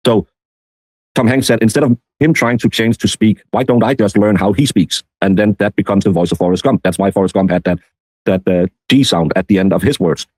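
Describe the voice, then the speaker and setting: A man giving casual, rambling commentary in a halting, meandering way. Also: lowish voice, a little mellow, dry recording. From Denmark. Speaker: streamer.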